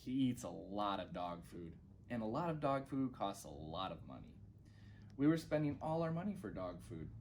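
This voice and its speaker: A man's voice: fairly low pitch, big pitch swings, normal speed, darkish tone, a little hiss or hum. A man reading a children's storybook aloud as a guest reader.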